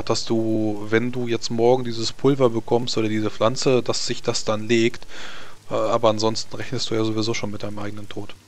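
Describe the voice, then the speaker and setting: A man narrating a tabletop role-playing game as game master, matter-of-fact and slightly hesitant. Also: mid-range voice.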